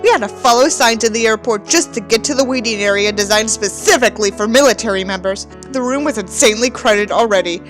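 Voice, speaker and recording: A woman's voice: a little high, fast, few pauses, soft background music. A woman giving a dramatic reading with theatrical, mocking delivery and sardonic asides. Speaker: host reading a book aloud with mocking commentary.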